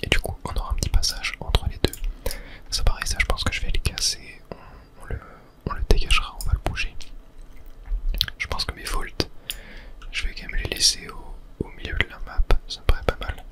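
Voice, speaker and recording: A person whispering relaxed ASMR gameplay commentary, murmuring thoughts aloud as they play. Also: very high voice, a little fast. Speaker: video game player and host.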